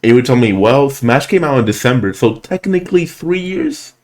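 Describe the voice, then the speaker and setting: Deep-voiced man from Puerto Rico. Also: animated, darkish tone. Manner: chatty, slightly halting English, playful. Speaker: show host, commentator. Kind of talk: casual interview.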